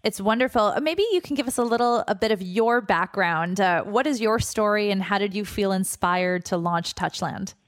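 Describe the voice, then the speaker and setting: A woman's voice: medium pitch, normal speed, few pauses. A woman hosting a radio interview show from her home, enthusiastic and gushing, with frequent "uh"s.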